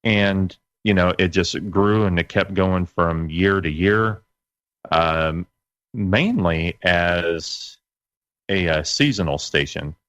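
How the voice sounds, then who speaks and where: Low deep voiced middle aged guy being interviewed, halting, corrects himself, muffled lo-fi sound. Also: fairly bright tone, no echo. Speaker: interview guest, internet radio broadcaster. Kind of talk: casual recollection in an interview. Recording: dull lo-fi dry sound.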